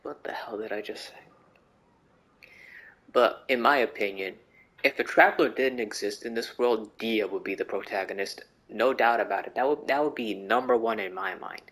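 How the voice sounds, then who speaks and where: A man's voice: mid-range voice, lively intonation, moderate pace, creaky, fairly bright tone. Slangy young man commenting on a game. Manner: slangy, joking, repeats phrases for emphasis. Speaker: game streamer. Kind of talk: casual reaction commentary on a game. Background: game music.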